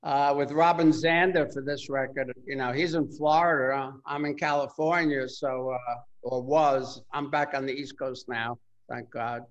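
An older man with a Bronx accent, a guitarist talking about his music in a casual interview, relaxed and rambling with many fillers. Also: mid-range voice, measured pace, lo-fi sound. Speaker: guitarist guest.

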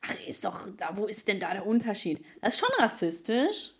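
A woman's voice: medium pitch, expressive, moderate pace, breathy edge. Young woman from ukraine, joking around on a podcast, teasing tone. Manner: teasing, playful. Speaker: podcast co-host. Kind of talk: casual chat.

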